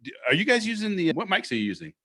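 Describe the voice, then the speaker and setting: Deep-voiced man, animated podcast talk. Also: very fast, dry recording. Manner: animated, swears freely, repeats words when excited. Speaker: podcast host. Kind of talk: casual podcast chat. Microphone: studio podcast mic.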